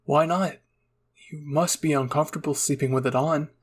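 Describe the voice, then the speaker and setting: A man acts out a caring young boy in an audio fan-fiction roleplay. His voice sits on the high side with a husky edge. He speaks in an earnest, coaxing way, as if comforting a close friend. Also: fairly even intonation, moderate pace. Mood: concerned. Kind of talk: acted dialogue in a fan-fiction audio reading.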